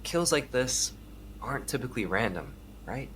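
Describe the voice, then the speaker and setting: A man's voice: mid-range voice, very animated, moderate pace, fairly bright tone, a little hiss or hum. An actor playing a probing police detective who questions witnesses and narrates his case. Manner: probing, matter-of-fact. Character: dogged male police detective, weary and probing. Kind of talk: audio drama acting with narration.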